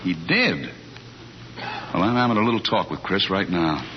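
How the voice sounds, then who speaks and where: An actor playing an exasperated, loyal bachelor friend, emphatic and stammering, in 1940s radio comedy style. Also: male voice, low voice, animated, moderate pace. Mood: alarmed. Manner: emphatic, stammering when flustered. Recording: muffled, noisy 1940s radio recording.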